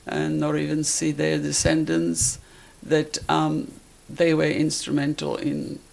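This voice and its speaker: An elderly woman from Poland with a Polish accent, recalling her life in a halting, deliberate way as she is interviewed. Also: low voice, gravelly, a little mellow, low-quality recording. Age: 80s or older. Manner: halting, deliberate, searching for words. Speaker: interviewee, life-story witness. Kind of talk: personal reminiscence in an interview.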